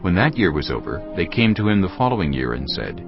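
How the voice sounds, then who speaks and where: Very deep, gravelly male narrator. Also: very animated, fairly quick. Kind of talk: dramatized scripture narration. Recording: dull lo-fi audio. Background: faint music.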